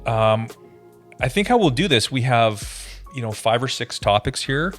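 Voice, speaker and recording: A man's voice: mid-range voice, very animated, unhurried, faint music behind. A man hosting a conversational interview, friendly and rambling with fillers. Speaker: interview host.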